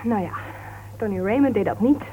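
A woman playing a sharp-tongued, argumentative crime writer in a Dutch radio play, speaking in a pointed, goading way. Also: medium pitch, animated, brisk, close to an everyday voice. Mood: accusing, goading. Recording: old radio recording, narrow tinny band, hiss.

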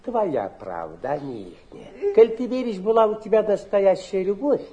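An actor playing a kindly old grandfather, gently coaxing and soothing in a theatrical stage manner. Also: sits high, very animated, normal speed, dull audio, crowd noise. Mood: soothing, tender. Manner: gentle, coaxing, repetitive reassurance.

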